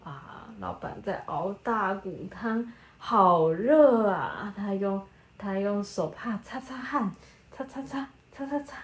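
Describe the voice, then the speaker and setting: A woman improvising a bedtime story with a child, animated and prompting with questions. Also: mid-range voice, slow pace. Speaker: storyteller, podcast host.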